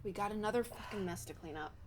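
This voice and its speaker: A woman's voice: mid-range voice, fast, natural voice. A woman playing a bossy, exasperated covert operative in an audio drama. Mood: brusque, weary. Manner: brusque, exasperated. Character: irritated, bossy covert operative cleaning up a crime. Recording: clear background noise and ambience.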